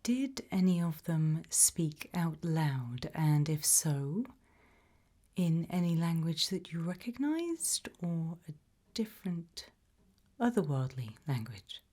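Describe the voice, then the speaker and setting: A British woman doing an ASMR roleplay interview, asking gentle questions in a soft, breathy whisper. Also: deep voice, big pitch swings, takes it slowly. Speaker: ASMR roleplay creator playing an investigator.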